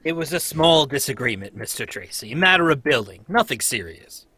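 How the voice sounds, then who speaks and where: A man's voice: mid-range voice, sing-song, fairly quick, sharp tone, breathy edge, no room sound, heavily acted. A man reading a polite, placating veteran circus manager in a live old-time radio table read. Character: smooth older male circus manager, placating.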